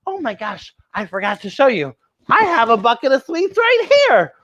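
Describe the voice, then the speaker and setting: Very animated woman hosting kids' story time. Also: fairly high pitch, moderate pace, muffled recording. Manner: animated, exaggerated exclamations, asks listeners questions; draws out words for emphasis. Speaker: children's story time host. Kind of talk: playful read-aloud and chat to young children.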